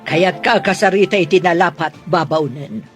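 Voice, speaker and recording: A woman's voice: on the low side, moderate pace, music in the background, lightly acted. An actress with a Filipino accent playing a stern, class-proud, middle-aged rich mother in a radio drama, haughty and scolding. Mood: commanding.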